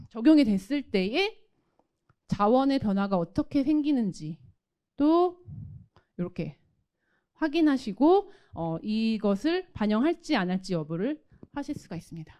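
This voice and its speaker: A woman's voice: on the high side, big pitch swings, measured pace, long pauses, mellow. A woman giving a conversational, explanatory tech product presentation and demo. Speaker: tech product presenter from a company's automation division.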